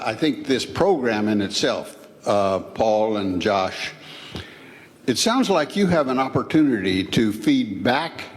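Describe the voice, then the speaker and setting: A man asking a question at a panel event in a polite, tentative, roundabout way. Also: medium pitch. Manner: tentative, courteous, roundabout phrasing. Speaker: audience questioner.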